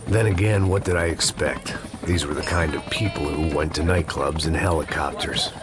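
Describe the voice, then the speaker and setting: An extremely low-voiced, world-weary middle-aged bodyguard narrates a game. He is deadpan and sardonic, a hardboiled cynic, with loud music under his words. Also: normal speed. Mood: weary, bitter.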